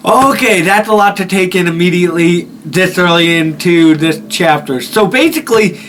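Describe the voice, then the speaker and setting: A man gives casual commentary as the host of a horror game video. His voice sits fairly high and he rambles informally with plenty of swearing, over slight background noise. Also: moderate pace, fairly bright tone.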